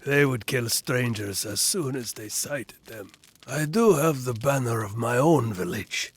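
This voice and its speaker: A man's voice: medium pitch, slightly rough. An actor playing an old, weary Norse man who speaks portentously and foretells doom in a fantasy game. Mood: wry, bargaining. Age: older. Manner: slow, portentous.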